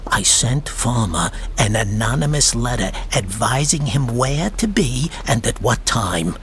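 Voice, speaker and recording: An actor in a radio drama playing a sly, talkative private insurance investigator with a wordy, mock-polite manner. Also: male voice, medium pitch, big pitch swings, unhurried, a bit bright, slight background noise. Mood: smug, confiding.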